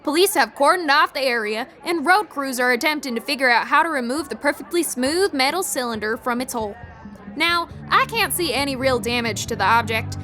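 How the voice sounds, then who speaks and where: A woman's voice: high-pitched, animated, a little fast, sharp tone, faint music behind. A woman playing a flustered traffic reporter giving a live radio news report on an alien invasion in an audio drama. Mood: composed, professional.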